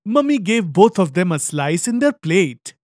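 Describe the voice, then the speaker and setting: A woman's voice: on the low side, big pitch swings, a little slow, a little mellow, dry recording, studio quality. A woman narrating a scripted children's story.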